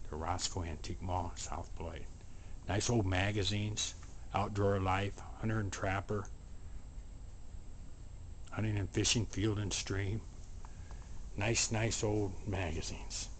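A man narrating a promotional walkthrough from behind a handheld camera indoors, listing items in short, unhurried, repetitive phrases. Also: sits low. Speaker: antique mall booth presenter. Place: indoor antique mall showroom. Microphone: handheld camera, operator speaking from behind it. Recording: steady background room noise.

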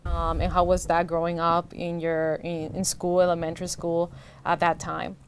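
A woman's voice: fairly low pitch, monotone, moderate pace, slight background noise. A middle-aged woman hosting an interview, asking friendly questions on a lapel mic in a studio set. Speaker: interviewer host. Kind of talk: interview conversation.

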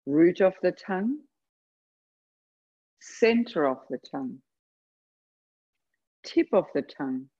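A woman leading a guided meditation, speaking gently and unhurriedly in short phrases with long pauses between them. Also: on the low side, animated, breathy edge, lo-fi sound. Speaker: yoga nidra meditation guide. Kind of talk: guided meditation, slow soothing instruction.

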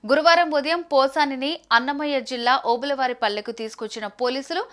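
A woman's voice: high voice, fairly even intonation, a little fast, a bit bright. A woman news presenter reading a scripted report in a formal news narration style.

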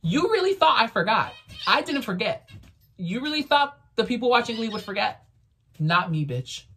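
A woman's voice: on the high side, very animated, normal speed, a bit bright, breathy edge. A woman whose first language is Spanish, chatting casually and outspokenly in a TV review vlog from her bedroom, filmed by a camera on the desk. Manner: sassy, outspoken, lots of fillers. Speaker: vlogger reviewing a TV series. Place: bedroom, indoors.

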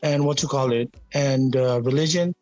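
A man speaking quickly and casually as a guest on a video-call panel, with many "like"s. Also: mid-range voice, a little mellow, no room sound, dull audio, faint music behind. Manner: quick, laid-back, uses lots of "like".

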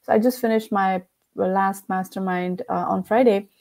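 A woman in her forties with an Indian accent, a podcast guest talking over a headset on a video call in a home room, chatty and quick to laugh, with many fillers. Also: mid-range voice, fairly even intonation, creaky, darkish tone. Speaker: podcast guest, company founder. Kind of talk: casual interview chat. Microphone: headset with mic.

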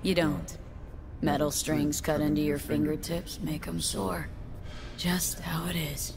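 A blunt, worldly street musician in a video game. Her voice sits on the low side and she talks in clipped, matter-of-fact phrases, with music clearly behind her. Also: flat delivery, a little slow. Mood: frank, reassuring. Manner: terse, matter-of-fact, dropped words. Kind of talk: naturalistic video game acting.

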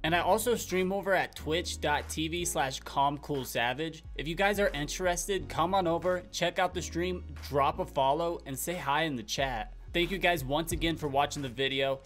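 A young man with a slightly high voice presents an online tutorial. He addresses viewers directly and walks through instructions step by step in an upbeat scripted delivery. Light music plays behind him. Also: normal speed, few pauses, a bit bright. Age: young adult. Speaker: tutorial video host. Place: small indoor room at a desk. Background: faint background music.

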